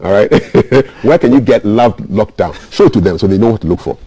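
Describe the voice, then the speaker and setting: A Ghanaian man hosting a radio interview, animated as he reads audience messages and asks questions. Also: lowish voice, fairly quick, slightly rough, dry recording. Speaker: radio show host.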